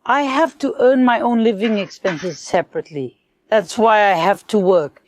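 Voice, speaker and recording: A woman's voice: medium pitch, moderate pace, slight background noise. A middle-aged woman from North Korea, telling her story earnestly and reflectively in a casual conversation.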